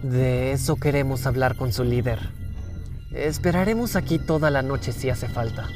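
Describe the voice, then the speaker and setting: Confident animal chieftain for animation, male actor, medium pitch, easygoing salesman manner, slightly smug, music underneath. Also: normal speed, natural voice. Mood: calm, unbothered. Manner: casual, persuasive, faintly smug. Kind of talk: animated fan dub acting.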